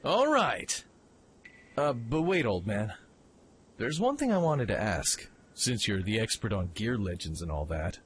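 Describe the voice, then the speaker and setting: Man voicing eager young mech pilot, brash, very animated intonation, informal, audio drama, slight ambient noise. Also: on the low side, measured pace. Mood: curious, insistent. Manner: excitable, informal, impulsive. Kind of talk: fan audio drama acting.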